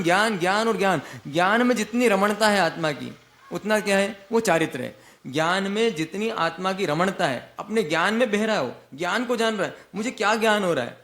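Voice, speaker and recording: Brief: high-pitched male monk preaching, asks the audience questions and answers them himself. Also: fairly bright tone. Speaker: Jain monk giving a discourse. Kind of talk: sermon.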